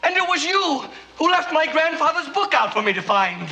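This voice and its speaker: An actor playing an excitable young doctor who shouts in alarm. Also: male voice, very high voice, normal speed, vocal fry, reverberant, a little hiss or hum, exaggerated voice. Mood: dawning realization. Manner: frantic, shouting. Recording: film soundtrack with loud music.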